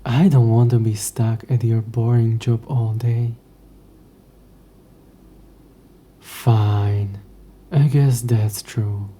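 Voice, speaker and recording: An ancient demon, acted by a man, speaks very slowly in a deep-shadowed, husky murmur. He is possessive, sardonic and teasing, with formal, wry phrasing, as in an intimate audio roleplay. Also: steady tone, dark tone. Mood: resigned.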